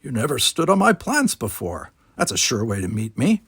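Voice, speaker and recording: A man's voice: mid-range voice, wildly swooping pitch, normal speed, fairly bright tone, breathy edge, no echo, heavily acted. A man voicing a grumpy, nosy little old male gardener, with a blunt, barking and emphatic delivery. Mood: gruff. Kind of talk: children's novel read-aloud character voice.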